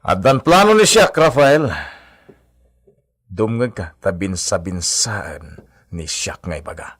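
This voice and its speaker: An actor playing a proud, scheming brother from a hacienda family in an Ilocano radio drama, with emphatic theatrical delivery. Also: male voice, fairly low pitch, expressive, slow pace, fairly bright tone, dry recording, clean studio sound, heavily acted. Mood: defiant, scheming.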